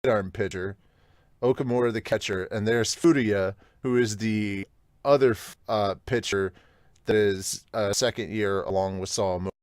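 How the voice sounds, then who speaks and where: A man hosting a podcast, chatting casually and excitably through a manga read-along, with some swearing. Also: fairly low pitch, normal speed, no room sound, studio quality. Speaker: podcast host.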